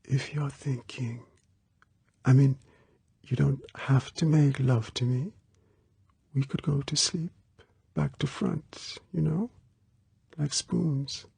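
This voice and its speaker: A man voicing a sweet, stubborn young woman in an audiobook, with a small, breathy, near-whispered voice and a pleading, intimate manner. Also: fairly high pitch, fairly even intonation, measured pace, a little mellow, dry recording, voice pushed up, full character voice. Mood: shy, hesitant.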